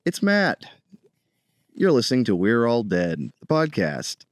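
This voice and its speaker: A man's voice: mid-range voice, unhurried, dry recording, clean studio sound. A man hosting a podcast, chatting casually and joking with his co-host. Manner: relaxed, jokey, laughs along. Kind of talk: casual chat.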